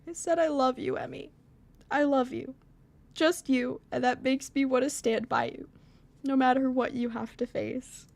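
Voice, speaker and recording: A woman's voice: high voice, steady tone, unhurried, vocal fry, a little mellow, a little hiss or hum. A woman voicing a devoted, supportive teenage boy in love, speaking sincerely and insistently in a visual novel. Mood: tender, sincere.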